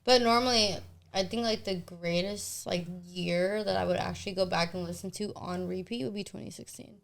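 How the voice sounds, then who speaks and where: A woman's voice: medium pitch, steady tone, normal speed, gravelly. Young woman, casual and slangy. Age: 20s. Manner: youthful slangy talk. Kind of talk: casual chat.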